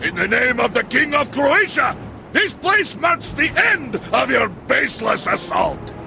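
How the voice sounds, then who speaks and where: A proud villain, captain of the guard, in a game cutscene. He declaims in a very high, gravelly voice, drawing his words out with theatrical menace. Music plays clearly behind him. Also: very animated, unhurried, heavily acted. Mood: menacing, booming. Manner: grandiose, declamatory. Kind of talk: dramatic video game acting.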